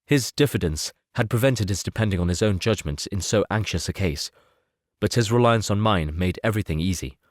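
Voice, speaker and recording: A man reading the part of a composed, self-assured gentleman in an audiobook, measured and formal. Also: lowish voice, lively intonation, no echo, studio quality, close to an everyday voice. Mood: candid, composed. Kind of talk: audiobook character dialogue.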